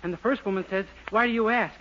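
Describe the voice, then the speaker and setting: Naive, high-voiced young man. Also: pushed and bright. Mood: naive, eager. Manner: guileless, slow on the uptake. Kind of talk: 1940s radio sitcom acting. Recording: dull, noisy 1940s radio recording.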